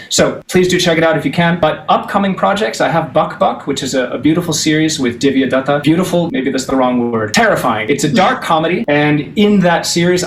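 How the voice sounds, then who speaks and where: American male guest, sincere and grateful, mid-pitched, roomy echo with faint hiss. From United States. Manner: earnest, warmly grateful, promotional. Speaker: guest actor and musician. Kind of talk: interview talk.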